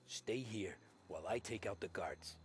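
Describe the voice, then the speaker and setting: An actor playing a young gangster who talks bluntly and impatiently in a game cutscene. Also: male voice, lowish voice, moderate pace, slight background noise, lightly acted. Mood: terse. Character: young mob driver turned gangster, brash. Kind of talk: video game cutscene voice acting.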